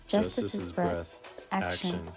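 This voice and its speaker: A woman narrating a spoken-word poem in a solemn, measured way. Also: fairly low pitch, very animated, creaky. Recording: voice-over over loud music.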